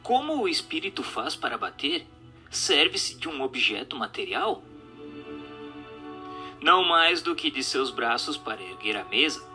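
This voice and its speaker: A man's voice: medium pitch, sing-song, unhurried, bright, forceful tone. A man reads a scripted question-and-answer text in a formal, didactic manner. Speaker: narrator reading a spiritist book. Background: music.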